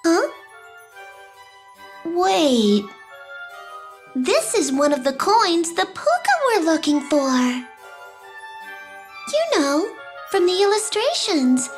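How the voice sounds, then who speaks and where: A little girl in a storybook fantasy game, her voice very high and childlike. She sounds curious and wistful, musing to herself and her pet with music behind her. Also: very animated, takes it slowly, long pauses, exaggerated voice. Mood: curious, playful.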